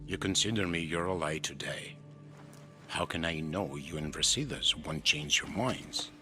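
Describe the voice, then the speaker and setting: Deep-voiced weary older ruler. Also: male voice, normal speed, sharp tone, faint music behind, exaggerated voice. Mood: weary, guarded. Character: weary, grave older Greek ruler with a guarded conscience. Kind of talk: video game dramatic dialogue.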